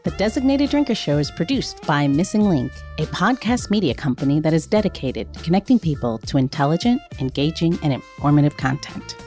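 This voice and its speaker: Lively, lower-voiced woman announcer. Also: fairly quick, hardly any pauses, faint music behind. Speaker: podcast announcer. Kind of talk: scripted promotional narration.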